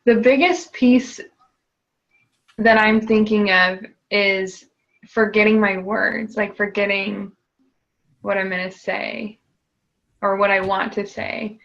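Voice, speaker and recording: A woman's voice: medium pitch, steady tone, slow pace, creaky, long pauses, a bit bright, dull audio. A woman client repeating tapping phrases after her coach and hesitantly describing her nervousness. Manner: hesitant, searches for words.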